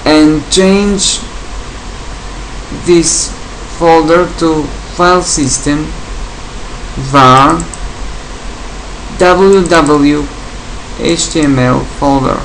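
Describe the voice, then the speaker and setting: A man from Bulgaria with a Bulgarian accent, English not his first language, giving a software tutorial in short, plain step-by-step instructions. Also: on the high side, very slow, long pauses, a little hiss or hum, poor audio. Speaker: tutorial presenter. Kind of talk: screen-recorded software tutorial.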